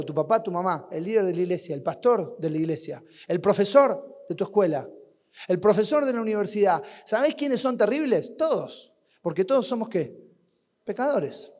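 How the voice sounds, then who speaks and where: A man with an Argentine accent preaching a sermon at a youth camp, asking rhetorical questions and swinging between loud and hushed. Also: high-pitched, lively intonation, fairly quick. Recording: long hall reverb.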